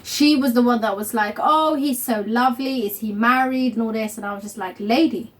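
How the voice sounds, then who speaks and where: A young woman of Somali family hosts a relaxed couple vlog at home. She talks in a chatty, meandering way, full of fillers and laughter. Her voice sits slightly high, and the camera mic picks it up. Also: brisk. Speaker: vlog host. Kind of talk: casual chat.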